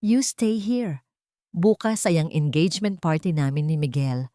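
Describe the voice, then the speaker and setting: A woman voicing a haughty, cruel young fiancée in an audio story, shrill and scornful as she barks orders. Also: measured pace, mellow, no echo, clean studio sound. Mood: contemptuous.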